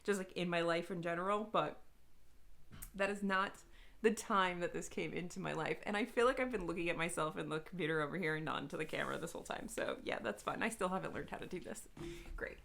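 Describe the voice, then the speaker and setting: A woman's voice: on the low side, fast talker, creaky, fairly bright tone. A woman chatting casually to viewers as a book reviewer, informal, gushing and emphatic, with some self-deprecation. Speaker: book reviewer, video host.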